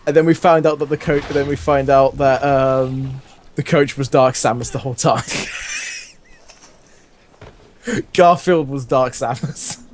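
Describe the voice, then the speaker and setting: A man's voice: mid-range voice, steady tone, a little slow, pauses often, a little hiss or hum. A man reading fan fiction aloud in a casual, comic way, amused and often breaking into laughter.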